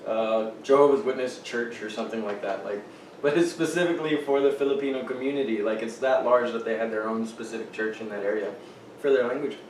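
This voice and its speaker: A man hosting a casual podcast at home, joking and teasing his guest and laughing loudly. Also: mid-range voice, brisk. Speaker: podcast host. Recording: roomy, distant mic with faint noise.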